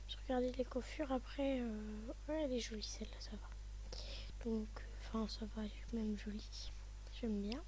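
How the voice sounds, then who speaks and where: A hoarse woman talking softly and often half-whispering, thinking aloud with many hesitations as she walks viewers through styling an outfit. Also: on the high side, fairly even intonation, normal speed, a little hiss or hum. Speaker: vlogger showing game outfits. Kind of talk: casual screen-recording commentary.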